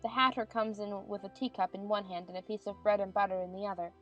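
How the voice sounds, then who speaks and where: A woman's voice: mid-range voice, fairly even intonation, fast talker, a bit bright, lo-fi sound, soft background music. A woman reading scripted stage narration for a dramatized audiobook.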